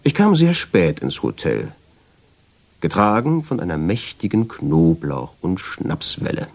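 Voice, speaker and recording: An actor playing a reflective traveller who tells his story in measured, deliberate radio drama narration, with a husky voice. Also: wildly swooping pitch, a little mellow, heavily acted. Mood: brooding, fascinated. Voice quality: low, husky voice. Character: reflective male traveller, drawn and obsessive, telling his story. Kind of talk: literary radio drama narration in first person.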